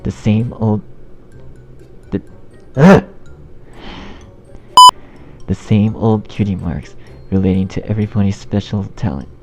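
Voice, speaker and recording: A man narrating fan fiction in outtakes, halting and restarting, swearing at his own slips in a self-deprecating way. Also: lowish voice, fairly even intonation. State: shivering from cold. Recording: dull lo-fi sound, loud music. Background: loud music.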